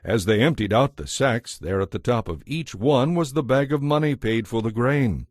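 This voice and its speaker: A man's voice: medium pitch, normal speed, no room sound, studio quality. A man reading scripted narration as the narrator of a dramatized audio Bible.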